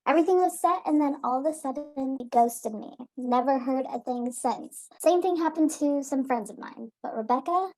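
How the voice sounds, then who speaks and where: A woman's voice: sits high, moderate pace, hardly any pauses, darkish tone, poor audio. A woman playing a chatty, fashion-minded celebrity in an amateur radio play, with a breezy way of talking.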